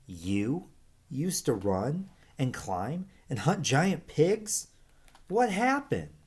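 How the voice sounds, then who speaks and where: A man's voice: a little high, big pitch swings, extremely slow, a little mellow, husky, pitched above the performer's own voice, exaggerated voice. A man voicing a young male snake, an eager storybook hero. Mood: astonished. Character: young male snake, excitable and naive, growing wiser.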